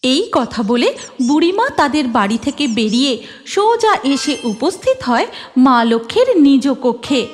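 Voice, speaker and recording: High-pitched woman narrating a folktale. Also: big pitch swings, a little slow, faint music behind. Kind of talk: scripted cartoon folktale narration.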